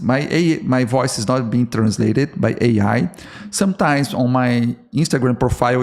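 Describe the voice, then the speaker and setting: A man with a Brazilian Portuguese accent talks straight to fellow artists on his own podcast. His voice sits at a middle pitch with a gravelly, fry-touched texture. English is his second language, so he restarts words and keeps checking in with "okay?". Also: a little mellow. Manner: earnest, repeats and restarts words, frequent "okay?". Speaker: podcast host. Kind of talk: solo podcast talk to artists.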